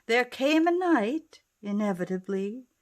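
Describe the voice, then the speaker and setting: Slow, dramatic woman storyteller. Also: fairly high pitch, lively intonation. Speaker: author and narrator. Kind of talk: expressive picture-book reading in rhyming verse.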